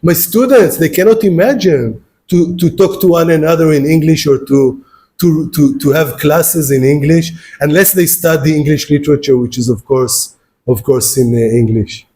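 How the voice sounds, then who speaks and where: A man's voice: fairly high pitch, moderate pace, mellow. A man with an Israeli accent, a native Hebrew speaker, giving a university philosophy lecture and speaking straight to his audience, often repeating and restarting his words. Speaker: guest lecturer. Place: lecture hall.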